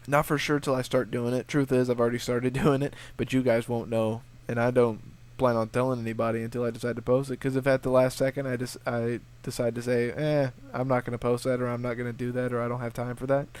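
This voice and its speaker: A man's voice: medium pitch, darkish tone, smooth. A young man with a sniffly, slightly stuffed-up voice, casually answering viewer questions in a rambling, laid-back way with lots of swearing and restarts. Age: young adult. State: sniffly with a sore throat. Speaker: video game walkthrough channel host.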